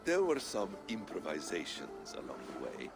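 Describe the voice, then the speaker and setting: An actor playing a suave, cunning assassin with an air of ancient superiority in a game cutscene, measured, sly and theatrical. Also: male voice, low voice, huge pitch swings, heavily acted. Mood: sly, courteous. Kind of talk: dramatic game cutscene acting. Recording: music behind.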